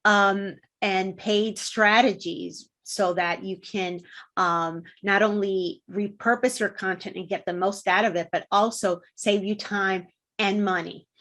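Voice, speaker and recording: A woman in her forties, originally from Puerto Rico, speaking as an interview guest. Her voice sits at a middle pitch. She explains her points patiently and in a friendly way, with plenty of ums. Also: fairly even intonation, measured pace, a bit bright. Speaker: guest, content marketing consultant. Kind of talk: interview answers.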